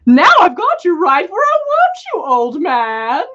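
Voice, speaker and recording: Woman acting a seductive male dark wizard, sheepish, solemn, storybook villain, animated pitch, slightly dull recording. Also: a little slow, exaggerated voice. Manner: solemn, flirtatious.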